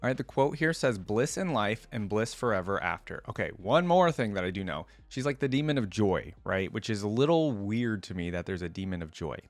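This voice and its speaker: A man's voice: on the low side, animated, fairly quick, few pauses, dry recording, faint music behind. A man hosting a gaming reaction video, moving between casual, think-aloud commentary and reading text aloud in a conversational way. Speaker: video host.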